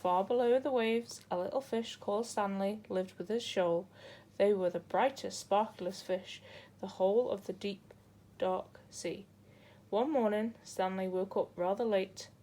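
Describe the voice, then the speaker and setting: A woman's voice: mid-range voice, measured pace, warm tone. A woman narrating a children's picture book as a storybook reader.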